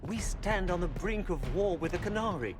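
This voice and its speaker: A man's voice: high voice, expressive, normal speed. An actor playing a stern, pragmatic male statesman in a video game, speaking formally and insistently. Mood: urgent, stern. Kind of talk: dramatic video game acting. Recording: very loud game music behind.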